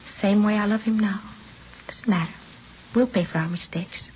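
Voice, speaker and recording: Brief: actress as defiant, obsessively devoted wife in a vintage crime radio play, fervent and insistent, dull hissy recording. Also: female voice, medium pitch, moderate pace, close to an everyday voice. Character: defiant, lovesick married woman confessing to a killing. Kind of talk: 1950s radio drama acting.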